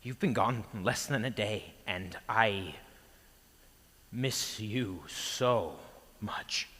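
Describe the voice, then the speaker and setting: A man playing a grieving, guilt-ridden, bewildered disciple in a theatrical monologue, speaking haltingly in broken, stammered phrases. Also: fairly low pitch, a bit bright, heavily acted. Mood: wistful, grieving. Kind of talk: theatrical Good Friday dramatic monologue. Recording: long echoing hall reverb.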